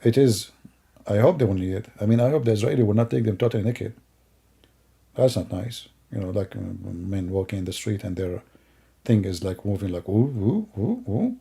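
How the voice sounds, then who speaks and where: Gravelly, mocking middle-aged man. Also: on the low side, animated, moderate pace, dark tone. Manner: mocking, rambling, with broken grammar. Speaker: commentator. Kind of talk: sarcastic commentary over video clips.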